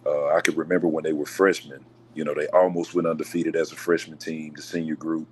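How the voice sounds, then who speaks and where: A man with a deep voice, a basketball coach being interviewed on a local sports talk show, answering in a measured, polite way. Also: little pitch movement, a little hiss or hum, low-quality recording. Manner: measured, polite, says "yes, sir". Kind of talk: casual radio show interview.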